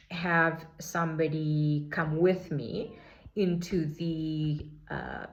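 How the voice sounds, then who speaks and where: A woman in her forties talking reflectively in a casual personal vlog, unhurried and full of fillers and restarts. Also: deep voice. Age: 40s. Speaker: vlogger sharing her healing journey.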